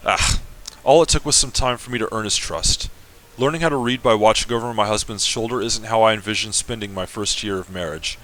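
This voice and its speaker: A man's voice: on the low side, a little fast, few pauses, a bit bright, lightly acted, low-quality recording. A man reading a letter from an earnest fifteen-year-old bride to her mother. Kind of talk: semi-dramatic reading of a game.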